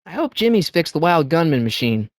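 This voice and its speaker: A man voicing an earnest, excitable teenage boy adventurer in a video game, prone to stammering when flustered. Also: fairly high pitch, a little fast, no room sound, clean studio sound. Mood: puzzled, casual.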